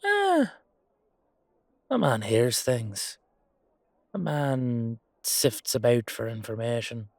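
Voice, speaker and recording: A man's voice: medium pitch, sing-song, slow, creaky, pauses often, no echo, exaggerated voice. A man acting a humble, weary knight with a wry, casual, hesitant manner in an amateur fantasy audio roleplay. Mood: casual, bluffing.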